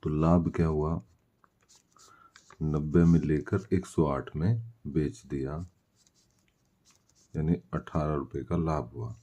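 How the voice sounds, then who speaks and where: A man's voice: very deep voice, little pitch movement, slow, long pauses, warm tone. A man teaching maths step by step, posing questions and answering them himself as he explains. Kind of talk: step-by-step worked-solution lesson.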